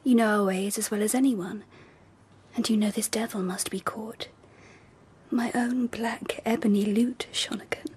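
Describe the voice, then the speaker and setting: An actress playing a gracious Empress of Japan in a radio drama, regal, soft and breathy. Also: female voice, mid-range voice, fairly even intonation, measured pace. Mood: gracious, intimate.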